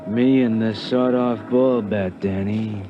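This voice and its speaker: An actor playing a gruff, cynical waterfront bartender in a 1950s radio drama, talking in dismissive, streetwise slang. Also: male voice, on the low side, steady tone, a little slow, over music, lightly acted. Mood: scornful, dismissive. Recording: muffled vintage radio recording with noise.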